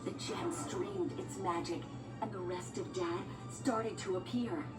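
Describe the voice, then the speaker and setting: A woman's voice: lowish voice, unhurried. A woman narrating a scripted children's storybook as a read-along storyteller. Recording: echoey, distant, music bed. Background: music and sound effects.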